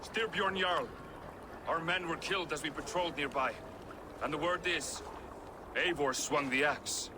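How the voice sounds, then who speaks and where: A man's voice: fairly high pitch, a little slow, pauses often, background noise, close to an everyday voice. A man acting a dour Norse soldier in a game cutscene, formal and matter-of-fact. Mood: accusing.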